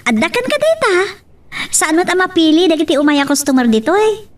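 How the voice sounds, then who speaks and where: A woman playing a young, easily startled woman in an audio love drama, with an Ilocano accent and expressive exclamations. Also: very high voice, a little fast, distant mic. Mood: friendly, surprised. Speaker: voice actor.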